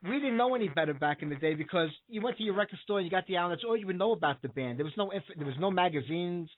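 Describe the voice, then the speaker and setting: New man, radio host, quick eager chatter, slightly high voice, phone-line tone. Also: animated. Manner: eager, fast talker with many fillers. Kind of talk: radio interview.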